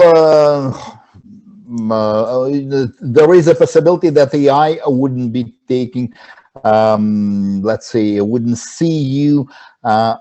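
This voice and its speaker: Non-native male panelist, slow and dark-toned, hesitant delivery over a video call. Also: medium pitch. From abroad, moved to Canada. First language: not English. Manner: halting, many fillers and restarts. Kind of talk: roundtable discussion on a video call.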